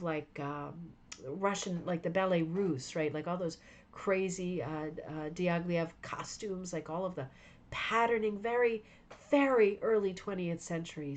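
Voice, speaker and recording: Tired woman gushing over hooked rugs. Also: lowish voice. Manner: enthusiastic, rambling, addresses viewers by name. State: tired, losing her voice. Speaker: rug hooking club host. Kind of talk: live stream show-and-tell chat.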